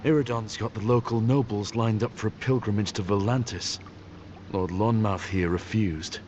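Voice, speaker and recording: An actor playing a wry, defiant northern hero in a fantasy game, guarded and dryly challenging. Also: male voice, lowish voice, fairly even intonation, normal speed, a little hiss or hum, natural voice. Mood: urgent. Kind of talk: dramatic game cutscene acting. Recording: slightly dull sound under loud music.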